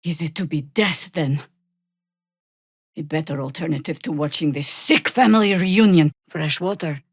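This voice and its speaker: Stern, wry older warrior druid, low-voiced. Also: female voice, breathy edge, no echo, clean studio sound. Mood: grave, measured. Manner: measured, wry, commanding. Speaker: video game character. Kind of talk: fantasy video game voice acting.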